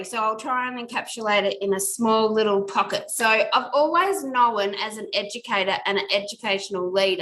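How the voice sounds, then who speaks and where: A woman's voice: medium pitch, fairly bright tone, poor audio. A middle-aged woman, a podcast guest talking earnestly and reflectively in long, winding sentences. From Australia. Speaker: podcast guest, workplace culture consultant. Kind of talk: casual interview talk.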